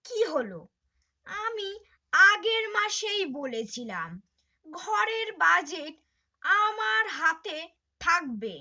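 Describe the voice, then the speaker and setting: Woman voicing very high, carefree young daughter-in-law. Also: sharp tone, full character voice. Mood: complaining. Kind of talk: puppet show moral story acting. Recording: dull lo-fi audio.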